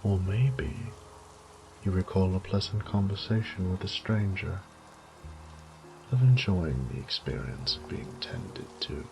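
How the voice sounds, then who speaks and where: A man playing a suave, sadistic vampire lord in a horror audio roleplay, with a theatrical, ornate, taunting delivery that often drops to a breathy whisper. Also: sits low, expressive, unhurried, over music, heavily acted, rough recording. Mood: musing, taunting. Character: suave, sadistic male vampire lord toying with his captive prey.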